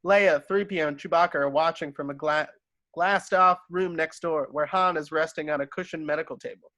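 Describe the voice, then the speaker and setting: A man's voice: a little high, steady tone, fairly quick, bright, forceful tone, no room sound. A man narrating screenplay stage directions from a script at a table read.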